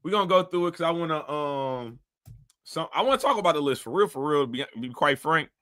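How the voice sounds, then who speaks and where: A man's voice: a little high, animated, fairly quick, bright, forceful tone. A man hosting a casual commentary show, talking bluntly and animatedly in a rambling way. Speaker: host.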